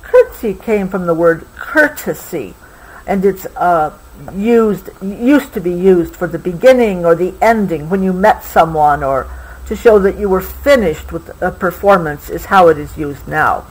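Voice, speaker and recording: Woman, storybook read-aloud, unhurried pace, lively intonation, patient explanatory asides. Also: on the low side, husky, rough recording. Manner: patient, explanatory, pausing to clarify. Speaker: mother reading a picture book to her child.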